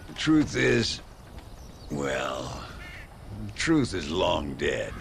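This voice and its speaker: An actor playing a boastful, rambling old gunslinger with a Western American accent and a theatrical drawl, in a video game cutscene. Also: male voice, a bass voice, wildly swooping pitch, noisy background, full character voice. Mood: boastful, wistful. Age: older. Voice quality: gravelly, drawling. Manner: theatrical, halting, self-mythologizing.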